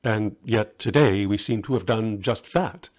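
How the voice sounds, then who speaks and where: A man's voice: on the low side, measured pace, darkish tone, close to an everyday voice. A man voicing a humble, self-doubting visionary magus in a story, earnest and hesitant. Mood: gentle, grateful.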